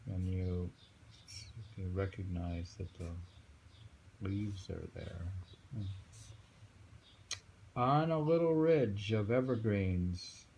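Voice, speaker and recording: A man reading poems aloud and commenting on them informally in a halting, musing way. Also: sits low, gravelly, darkish tone, slight background noise, rough recording. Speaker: poetry reader and commentator.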